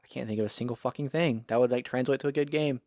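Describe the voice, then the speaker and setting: A man's voice: mid-range voice, quick pace, darkish tone, no room sound, studio quality. A man co-hosting a casual podcast chat, blunt and swearing freely. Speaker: podcast co-host.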